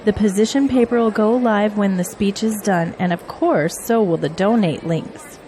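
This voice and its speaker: A woman's voice: medium pitch, normal speed, mellow, a little hiss or hum, lightly acted. A woman playing a loyal, efficient political aide in an audio drama, speaking earnestly and deferentially. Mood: brisk, efficient.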